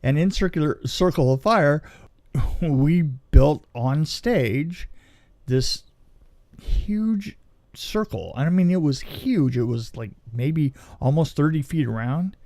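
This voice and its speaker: A man telling a story casually on a podcast, in a halting, rambling way that often restarts mid-sentence. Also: on the high side, lively intonation, darkish tone, dry recording. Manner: halting, rambling, self-interrupting delivery. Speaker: film special effects technician telling a story.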